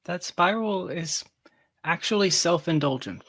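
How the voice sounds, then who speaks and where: A man's voice: a little high, animated, measured pace, a bit bright, no room sound. A man talking casually as an interview guest, explaining his ideas emphatically and repeating phrases for stress. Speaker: interview guest, tech company founder.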